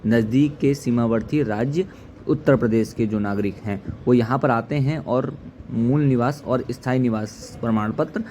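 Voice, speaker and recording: A man's voice: mid-range voice, moderate pace, dark tone, a little hiss or hum. A man presents a political explainer as an activist, speaking earnestly in formal diction. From Uttarakhand, India. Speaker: activist commentator. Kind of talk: political explainer to camera or voice-over.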